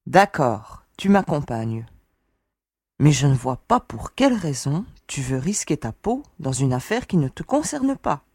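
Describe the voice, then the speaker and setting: A woman playing a low, husky lone-wolf space adventurer. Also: sing-song, measured pace, darkish tone, dry recording, studio quality, full character voice. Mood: guarded, firm. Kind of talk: audio drama dialogue.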